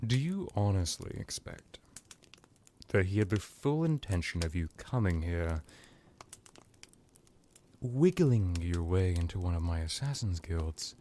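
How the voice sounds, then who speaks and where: A man playing a smug, powerful vampire lord in an ASMR roleplay, talking in a halting, boastful, condescending and mock-polite way. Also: low-pitched, darkish tone, a little hiss or hum, heavily acted.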